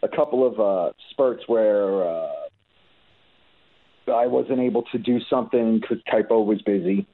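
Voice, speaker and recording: Rambling man on a tinny line. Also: fairly low pitch. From New York. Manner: rambling, many restarts and fillers. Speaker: guest musician. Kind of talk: casual interview chat.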